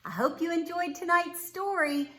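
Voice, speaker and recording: A woman reading a children's storybook aloud expressively, with dramatic, drawn-out emphasis on words. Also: very high-pitched, pushed and bright, slight background noise. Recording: echoey, roomy sound.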